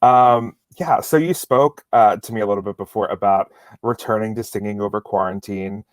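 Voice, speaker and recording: A man's voice: fairly low pitch, moderate pace, dry recording. A man hosting a show, chatting casually and informally as he feels his way into questions. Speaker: variety show host, interviewer. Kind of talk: casual interview chat.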